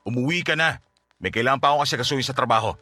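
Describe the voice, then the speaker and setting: Man as fed-up young boyfriend, rapid speech, forceful edge, Filipino accent, accusing, curt, radio drama. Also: medium pitch, faint music behind, lightly acted. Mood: hurt, irritated. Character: aggrieved, irritable young boyfriend.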